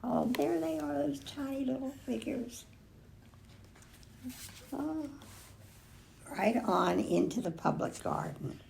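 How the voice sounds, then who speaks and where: An older woman reading a children's picture book aloud expressively, pausing to remark on the pictures. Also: high-pitched, expressive, gravelly, darkish tone, a little hiss or hum. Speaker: storybook reader.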